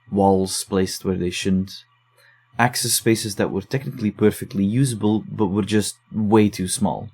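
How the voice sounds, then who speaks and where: A man in an audio drama, calmly explaining findings and reading a patient's letter aloud. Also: sits low. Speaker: investigator reading case files.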